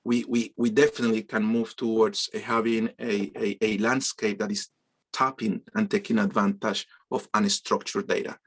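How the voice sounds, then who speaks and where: A man's voice: fairly low pitch, level tone, normal speed. A man teaching an online business course over a video call, often stumbling and repeating words as he responds to students. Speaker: course instructor. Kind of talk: online class introductions.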